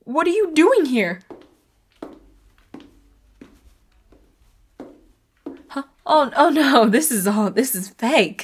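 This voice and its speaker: A woman acts out a secretive female boss, part leopard, for an audio roleplay. Her voice is a little high and very airy. She fusses coyly and often drops into a soft whisper, sweet on the surface. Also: full character voice. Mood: flustered, panicked. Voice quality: soft, breathy. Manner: coy, fussing, often slipping into whispers. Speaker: voice actor. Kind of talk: scripted roleplay audio drama.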